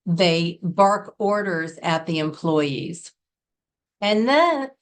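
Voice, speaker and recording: Earnest American woman teaching business English. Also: lowish voice. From Connecticut, United States. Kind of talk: scripted lesson to camera.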